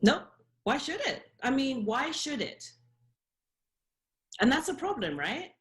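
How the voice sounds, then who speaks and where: A woman's voice: high-pitched, very animated, takes it slowly, fairly bright tone. A woman in her forties with a British accent, a professor answering questions on a radio show and speaking earnestly, with frequent fillers and self-corrections. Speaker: professor, interview guest. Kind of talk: radio interview.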